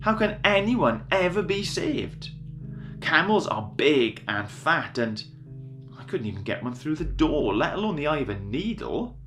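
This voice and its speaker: A man's voice: a little high, sing-song, a little slow, fairly bright tone, faint music behind, pitched above the performer's own voice. A man voicing a dismayed, grumbling member of a crowd in a children's story, sounding exasperated.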